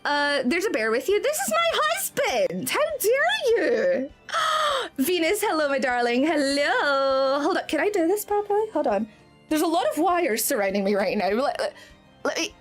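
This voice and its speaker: A very high, lively Scottish woman's voice, streaming from a home setup with faint music playing behind her. She talks in a bubbly, giggly way, swooping up and down as she thanks each viewer. The pitch sits far above the usual female range. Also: normal speed. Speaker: VTuber streamer. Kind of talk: live-stream chat with viewers.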